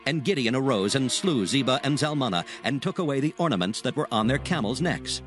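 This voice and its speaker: A male narrator of dramatized scripture with a mid-pitched voice. His solemn, measured storytelling rises and falls expressively, with music clearly playing beneath it. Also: animated, a bit bright.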